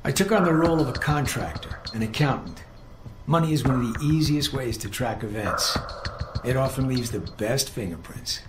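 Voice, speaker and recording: An actor playing a cold, condescending older intelligence handler in a video game, speaking in clipped, precise, superior phrases. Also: male voice, medium pitch, animated, normal speed, noisy background, close to an everyday voice. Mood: cool, lecturing. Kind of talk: video game dialogue acting. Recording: roomy echo with music underneath.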